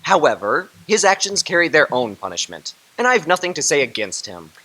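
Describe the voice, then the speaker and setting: An actor playing Fred, Scrooge's cheerful young nephew, in a radio drama, hearty and laughing. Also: male voice, on the high side, sing-song, brisk, pushed and bright, heavily acted, poor audio. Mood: jovial.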